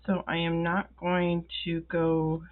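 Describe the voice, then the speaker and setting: Slow-talking congested woman, tinny sound. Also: lowish voice, monotone. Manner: slow, rambling, many ums and pauses. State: congested, feeling ill. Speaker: planner vlogger. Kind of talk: casual talk while filling in a planner. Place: indoors at a wooden desk.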